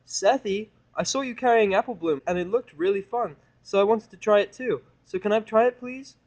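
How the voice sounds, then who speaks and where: Male reader as childlike female pony, high-pitched, bouncy, pleading, gushing, cartoon fan audio drama. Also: moderate pace, gravelly, a little mellow. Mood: excited, eager.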